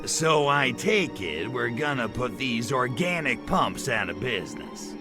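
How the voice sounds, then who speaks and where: An actor playing a cocky, lecherous alien invader in a video game, with a sardonic, wisecracking drawl. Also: male voice, mid-range voice, animated, a little slow, over music. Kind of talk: video game cutscene acting.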